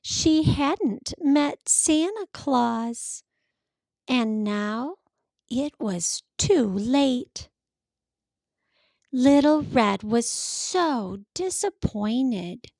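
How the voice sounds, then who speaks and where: A woman narrating a children's picture book in a careful, expressive storytelling manner. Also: sits high, very slow, no room sound. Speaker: read-aloud storyteller.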